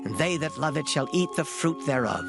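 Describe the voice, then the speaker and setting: A man reads scripture as a dramatic performance, solemn and declamatory. His intonation rises and falls expressively, and plucked string music plays clearly behind him. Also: a little high, expressive, brisk. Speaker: scripture reader. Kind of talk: dramatized Bible reading.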